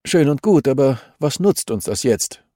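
A man's voice: medium pitch, big pitch swings, moderate pace, mellow, no room sound, clean studio sound, pitched above the performer's own voice, lightly acted. A man voicing a stern older male judge in an audiobook, brusque, formal, skeptical and unyielding. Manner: formal, curt, officious.

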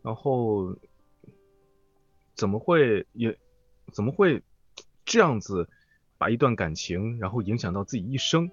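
A man's voice: on the low side, animated, measured pace, pauses often, dry recording, muffled recording, faint music behind. A man speaking as a voice actor guest in an interview, reflective and hesitant as he searches for words. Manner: hesitant, thoughtful phrasing.

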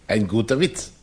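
A scheming émigré revolutionary in a 1960s German radio drama. His low, husky male voice swoops widely through florid compliments. He is theatrical, oily and condescending in his politeness. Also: heavily acted. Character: effusive, cunning Russian émigré revolutionary, condescending and flattering.

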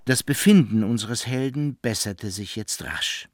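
An actor as the storyteller of a German radio play, giving mock-solemn scripted narration of a hero's misadventures. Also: male voice, lowish voice, unhurried, no echo, studio quality.

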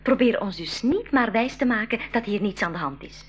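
A woman's voice: a little high, lively intonation, normal speed, natural voice. An actress in a radio play voicing a bold, cheeky young girl who questions insistently and with spirit. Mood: defiant. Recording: dull 1980s radio play, faint music.

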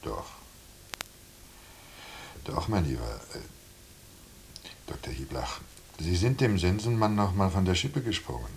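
An actor playing a familiar, probing hospital doctor in a radio play, questioning a patient in a professional yet jocular manner. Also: male voice, low-pitched, very animated, unhurried, gravelly, long pauses, slight background noise, exaggerated voice. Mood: attentive, probing.

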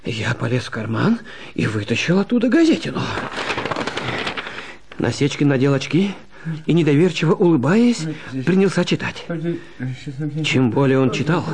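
A man giving an expressive, theatrical narration of a literary story as an actor. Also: fairly high pitch, moderate pace, vocal fry, long pauses, crowd noise. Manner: expressive, dramatic.

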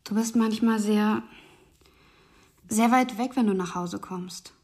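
A woman's voice: mid-range voice, slow pace, darkish tone. An actress playing a worried, affectionate, needy woman in an intimate radio drama scene. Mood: tender, concerned.

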